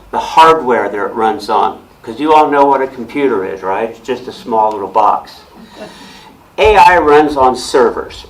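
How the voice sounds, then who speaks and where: A man speaks loudly and plainly to a room of listeners through a mic. His voice sits at an ordinary middle pitch. He restarts and repeats words as he works through his explanation. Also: low-quality recording. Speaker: guest speaker on technology. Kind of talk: explaining to a club audience. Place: meeting room with audience. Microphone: handheld or stand mic with speaker system.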